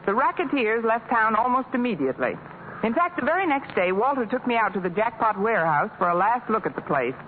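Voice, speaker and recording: A wisecracking schoolteacher. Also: female voice, fairly high pitch, big pitch swings, brisk. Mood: narrating. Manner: sardonic quips. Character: wisecracking dry-witted female schoolteacher. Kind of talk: 1950s radio comedy acting. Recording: tinny old radio broadcast, hissy.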